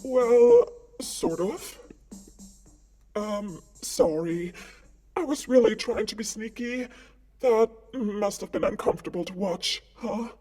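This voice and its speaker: A woman's voice: mid-range voice, lively intonation, takes it slowly, dark tone, slightly rough, faint music behind. A woman playing a friendly, anxious, awkward ghost in a visual novel, stammering apologetically. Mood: sheepish, nervous. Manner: flustered, hesitant, apologetic stammering. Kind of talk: visual novel character acting.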